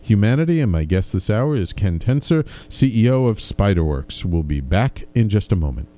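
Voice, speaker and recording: A man's voice: on the low side, sing-song, moderate pace, warm tone. A man hosting a radio show in a casual, conversational interview style, chatty and rambling as he talks.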